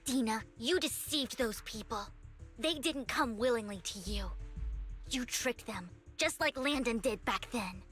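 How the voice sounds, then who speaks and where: An actress playing an earnest, resolute young heroine in an anime video game, speaking firmly with a pleading edge. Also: female voice, a little high, a little slow, fairly bright tone. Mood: firm, accusing. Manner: firm, pleading, earnest delivery. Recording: music behind the voice.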